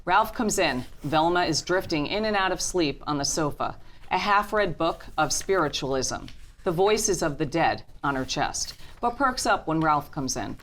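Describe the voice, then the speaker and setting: A woman's voice: low voice, lively intonation, normal speed, fairly bright tone. A woman narrator reading screenplay stage directions as scripted narration at a table reading.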